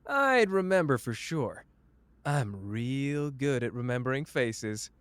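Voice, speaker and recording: A man's voice: medium pitch, big pitch swings, takes it slowly, fairly bright tone, smooth, no echo. A man playing a cocky, flamboyant young sorcerer who teases the listener in a playful, smug roleplay audio drama. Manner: playful, smug, drawling teasing.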